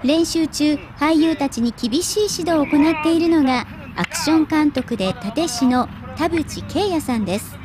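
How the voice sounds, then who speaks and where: A middle-aged woman presenting scripted news in a polite, clearly articulated way. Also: high-pitched, moderate pace, hardly any pauses, a crowd in the background. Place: TV studio.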